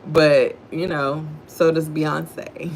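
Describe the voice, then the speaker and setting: Deep-voiced Texan woman with a gravelly edge. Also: flat delivery, a little hiss or hum, rough recording. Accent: Southern US, Texan. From Houston, Texas, USA. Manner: talks low and slow, greets viewers by name. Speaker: livestream host. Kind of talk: devotional reading and casual chat with live viewers.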